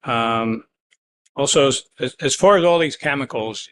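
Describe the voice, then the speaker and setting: A man's voice: mid-range voice, a little slow, a bit bright. A man serving as board engineer, questioning an applicant in a direct, matter-of-fact way. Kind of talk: questioning at a public meeting.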